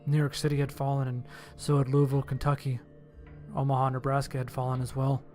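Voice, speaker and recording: A man's voice: mid-range voice, completely flat delivery, brisk, a little mellow. A man reading a first-person horror story as a dramatic scripted narration. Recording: music under the voice. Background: music.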